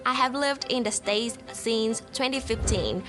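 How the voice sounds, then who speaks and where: A woman introduces herself in a composed, upbeat scripted voice-over. Her voice sits slightly high, and loud music plays under it the whole time. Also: measured pace. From Vietnam. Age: 20s. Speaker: student. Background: loud background music.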